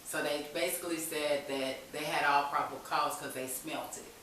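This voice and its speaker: A woman's voice: low-pitched, fairly even intonation, normal speed, fairly bright tone. A woman speaking as an interview guest, telling her own story candidly and informally in conversation. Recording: very echoey room, distant mic, faint hiss.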